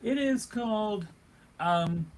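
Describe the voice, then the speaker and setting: A man co-hosting a panel in casual chat, with a halting, self-deprecating way of talking. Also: high-pitched, expressive. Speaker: co-host, convention track director.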